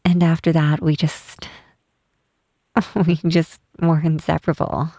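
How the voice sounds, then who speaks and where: A woman voicing a tall, shy, wistful half-troll cleric in a soft, hesitant ASMR roleplay, close to the microphone. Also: low voice, little pitch movement, no echo. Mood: fond, amused. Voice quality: soft, breathy. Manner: hesitant, halting, tender. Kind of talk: ASMR roleplay, intimate softly spoken acting. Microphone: close microphone, dry.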